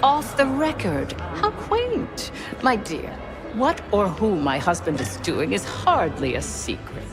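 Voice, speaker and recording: A woman's voice: mid-range voice, very animated, slow pace, music in the background. A woman playing a bitter, haughty politician's wife in a video game, arch and disdainful as she talks. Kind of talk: video game cutscene acting.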